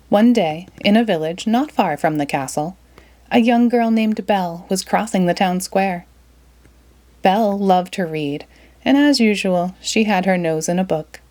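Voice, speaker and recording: Expressive woman reading a storybook aloud. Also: lowish voice. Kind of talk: children's storybook read-aloud.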